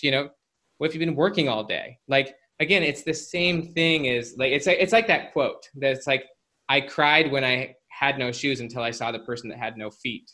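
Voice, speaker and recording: Bright-voiced man explaining casually in an interview. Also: mid-range voice, moderate pace. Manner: informal, filler-heavy with frequent "like" and "you know". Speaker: guest psychologist and author. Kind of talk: conversational interview explanation with anecdotes.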